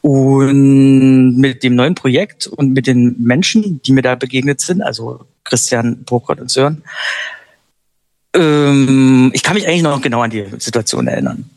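A male singer speaking his native German in an interview, thoughtful and a little halting as he searches for words. His voice sits at an ordinary middle pitch, recorded dry and close with hardly any room sound. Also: a little mellow, no echo. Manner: reflective, hesitant, self-deprecating. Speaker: band singer and lyricist. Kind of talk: casual interview talk.

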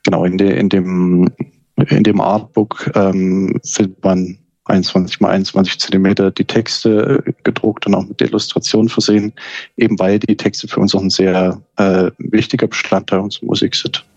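A male band member explaining things slowly and deliberately in an interview, filling pauses as he thinks. His voice is fairly low, and the recording is a little muffled and dull but dry. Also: level tone, creaky, a little mellow, no room sound. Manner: measured, deliberate, frequent fillers. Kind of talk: casual interview talk.

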